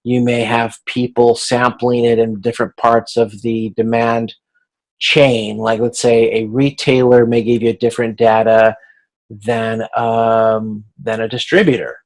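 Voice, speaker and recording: A man speaking as an instructor in an informal recorded lecture, explaining in a halting, conversational way with a gravelly voice. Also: mid-range voice, little pitch movement, rough recording. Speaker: instructor giving class feedback.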